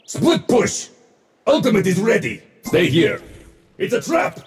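A man's voice: sits high, wildly swooping pitch, unhurried, creaky, faint music behind, full character voice. A man voicing a smug, wisecracking futuristic mech-suit warrior for a video game, in punchy, boastful lines. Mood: urgent. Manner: boastful, punchy, clipped callouts. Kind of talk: video game voice pack barks.

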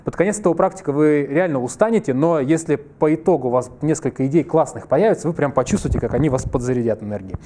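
A man in his thirties teaches a live workshop in a wood-panelled hall, talking through a headset mic. He races along in a mellow, rather high voice, joking with the room. He's a bit under the weather, and a rough throat shows now and then. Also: fast, few pauses, a little hiss or hum. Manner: lively, conversational, jokes with the audience. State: slightly ill, clears throat. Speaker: workshop presenter. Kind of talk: live masterclass lecture.

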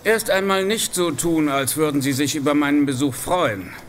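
An actor playing a gruff, sarcastic male police chief inspector in an audio drama, speaking insistently. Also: on the high side, moderate pace, close to an everyday voice. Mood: confrontational, sarcastic. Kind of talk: German audio drama acting.

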